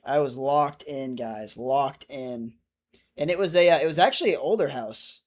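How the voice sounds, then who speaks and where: A man's voice: mid-range voice, normal speed. A man of twenty-five chatting casually on a livestream, answering viewer comments in a rambling, self-correcting way. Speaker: streamer.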